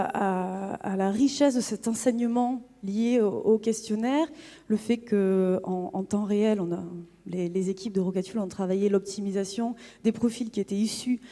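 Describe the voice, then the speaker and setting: A woman's voice: medium pitch, warm tone. A woman presenting results as a marketing manager at a conference, explaining hesitantly and repeating words as she goes. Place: conference hall on stage. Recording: reverberant hall sound.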